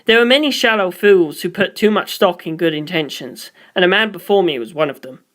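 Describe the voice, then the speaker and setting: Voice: mid-range voice, a little fast, a bit bright. A reader delivering scripted first-person story narration, as for an audiobook.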